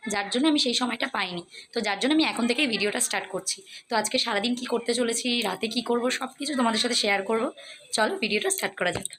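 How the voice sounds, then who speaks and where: A young woman with a West Bengal accent talks to her vlog viewers in a slightly high voice. She is chatty and casual, dropping in English words. There is faint noise behind her. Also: a bit bright. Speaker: vlogger.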